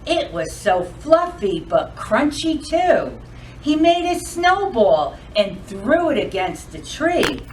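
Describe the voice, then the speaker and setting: A woman hosting a children's storytime, reading aloud and addressing young kids in an expressive, sing-song, deliberate way. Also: a little high, distant mic, a little hiss or hum, low-quality recording. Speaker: library storytime host.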